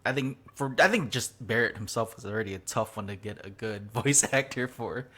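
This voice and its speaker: A man's voice: fairly low pitch, a little fast, fairly bright tone. A man hosting a podcast in casual chat, talking loosely with lots of fillers and frequent laughs. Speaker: podcast host.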